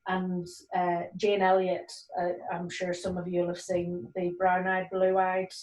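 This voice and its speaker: A woman police sergeant in her forties speaks earnestly on a video call, pausing often on ums. Her delivery stays fairly flat. The audio is roomy and distant, as if she sits well back from the microphone. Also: on the low side. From Nigeria and Northern Ireland. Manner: earnest, many ums and restarts. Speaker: police community cohesion sergeant.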